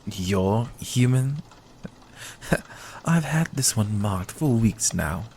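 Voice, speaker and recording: Man acting a slow, gravelly, smug vampire. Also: lowish voice, animated, darkish tone, a little hiss or hum, heavily acted. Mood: startled, challenging. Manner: slow, teasing, menacingly coaxing, sometimes whispering. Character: smug, predatory male vampire, teasing and hypnotic. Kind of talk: ASMR roleplay acting.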